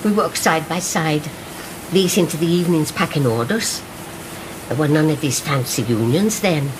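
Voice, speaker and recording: A woman's voice: sits low, normal speed, noisy background, lightly acted. A woman playing an old mill-worker reminiscing. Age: older. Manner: reminiscing, drifting into song. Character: elderly woman, former mill worker, wistful and bitter. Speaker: Missus O'Hare. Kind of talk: radio drama acting.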